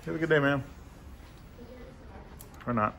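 A man's voice: on the high side, measured pace, creaky, a bit bright, a little hiss or hum. A man filming in public outside a post office, chatting and needling passersby with a sarcastic, laughing manner. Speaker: First Amendment auditor filming in public. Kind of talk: casual confrontational street filming.

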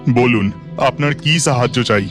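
A man's voice: medium pitch, normal speed, over music, lightly acted. A man voicing a good-natured village snake charmer with a theatrical, helpful manner in a fairy tale cartoon. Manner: helpful, theatrical thinking aloud.

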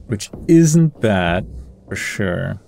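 A man's voice: on the low side, very animated, drawn-out words, gravelly, darkish tone. A man giving casual game commentary, musing and weighing his options aloud in a hesitant way. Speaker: gaming video creator. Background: game music.

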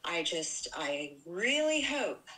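A woman's voice: fairly low pitch, sing-song, takes it slowly, fairly bright tone. A middle-aged woman calling in to a live show, speaking hesitantly and earnestly. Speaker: caller.

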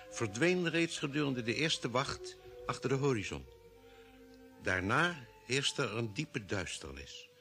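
A man's voice: mid-range voice, sharp tone, heavily acted. An actor playing a sober space explorer who recounts his expedition as narrator of an old radio drama. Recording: vintage radio drama with music behind.

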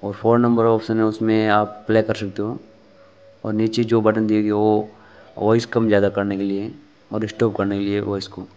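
Indian male review vlogger, lowish clear voice, informal step-by-step delivery, slightly muffled lo-fi recording. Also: monotone, moderate pace, a little mellow. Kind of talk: unboxing and demonstration narration, addressing viewers.

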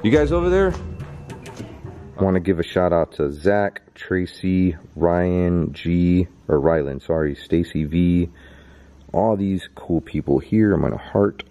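Deep-voiced man vlogging in the dark. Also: lively intonation, measured pace, highs cut off, faint music behind. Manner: chatty, reading off names, dropping to a whisper in the dark. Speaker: YouTube host. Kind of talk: casual vlog narration. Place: dark abandoned stadium at night, indoors. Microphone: handheld camera held close.

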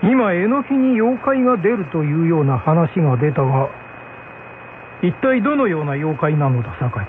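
A man's voice: a little high, very animated, moderate pace. A man voicing a curious, commanding young shogun in a folk tale drama, with lordly, questioning, archaic speech. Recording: thin phone-like band with hum.

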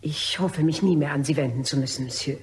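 A woman playing a polite, desperate blackmailer in a German crime radio play, coy and hesitant, with a slightly husky voice. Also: low-pitched, a little fast, slight background noise. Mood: embarrassed, regretful. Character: polite, desperate female blackmailer, probing and apologetic.

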